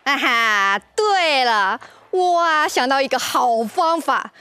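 Woman putting on a cocky, high-voiced storybook braggart. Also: lively intonation, sharp tone, no echo, heavily acted. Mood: scheming, gleeful. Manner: smug, drawn-out boasting. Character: third guest, boastful cocky male storybook snake-drawer.

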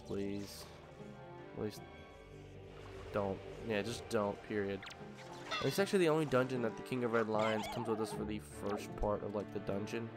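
Let's-play host, man, a bit nasal and low-pitched, rambling commentary over steady game music. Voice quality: slightly nasal from allergies. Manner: rambling, thinking aloud with many ums. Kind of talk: casual gameplay commentary.

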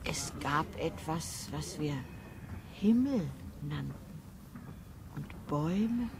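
A slow, gentle very old grandmother. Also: sits low, animated, exaggerated voice. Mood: tender. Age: older. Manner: soft, hesitant recollection. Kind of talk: 1950s radio drama acting. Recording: noisy old 1950s radio tape.

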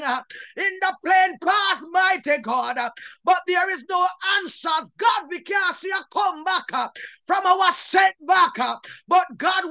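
A woman minister prays at a shout, her voice extremely high and tearful. She delivers impassioned Pentecostal declarations in short bursts, breaking them with "uh" and exclamations to God. The sound is dry and close. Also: dry recording. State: tearful, crying. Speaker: minister leading prayer. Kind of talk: fervent Pentecostal prayer.

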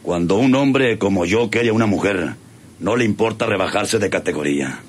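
An actor with a Mexican accent playing a proud, lovesick, threatening landowner in a rural radio drama. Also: male voice, on the low side, lively intonation, moderate pace, breathy edge. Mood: lovestruck, boastful.

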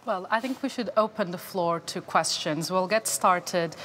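A woman's voice: on the low side, unhurried, fairly bright tone, slight background noise. A young woman moderating a live interview, conversational and often saying "you know".

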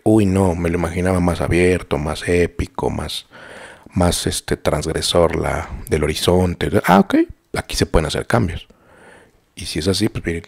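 A man's voice: low-pitched, gravelly, darkish tone, slightly rough. A middle-aged man with a Mexican accent, teaching art on a live stream in a rambling, chatty way full of fillers and restarts, talking straight to his students. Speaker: art instructor. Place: small home studio.

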